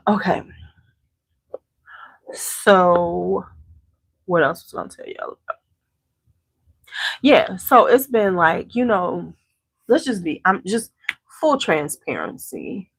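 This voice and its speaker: A woman's voice: medium pitch, expressive, slow, creaky, pauses often, fairly bright tone, slightly rough, no echo. A congested woman hosting a beauty channel, chatting casually with viewers at home and greeting them by name. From Chicago area. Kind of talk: casual chat to a live audience.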